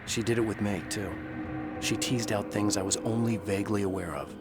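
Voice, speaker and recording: A man's voice: lowish voice, fairly even intonation, brisk, over loud music, close to an everyday voice. An actor voicing a weary male writer, narrating in a brooding, introspective way. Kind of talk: scripted reflective narration.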